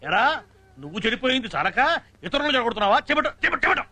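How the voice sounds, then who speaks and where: A man's voice: very high voice, sing-song, racing, sharp tone, no room sound, full character voice. A man playing a bullying, easily outraged village schoolteacher, scolding and threatening, often repeating his words in comic film style. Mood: angry.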